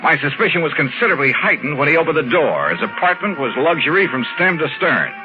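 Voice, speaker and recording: A muffled vintage radio recording with music carries a hard-boiled American investigator. He is sardonic and slangy, with lively swings in pitch as he needles and narrates in old detective-serial style. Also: quick pace. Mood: dry narration. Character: wisecracking, skeptical, hard-boiled male insurance investigator.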